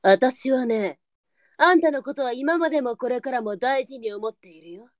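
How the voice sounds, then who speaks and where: Woman acting a high-voiced nagging brothel madam. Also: expressive, moderate pace, no echo, studio quality. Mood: earnest. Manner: blunt old-fashioned older-woman speech, scolding. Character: stern, nagging brothel madam, motherly underneath.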